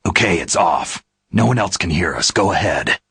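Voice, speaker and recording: A hardened American secret agent from a video game. His voice is very husky and pitched low. He talks in terse, gruff bursts with a weary edge. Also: animated, normal speed, a bit bright, exaggerated voice. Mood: businesslike. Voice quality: gravelly, husky. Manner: terse, questioning.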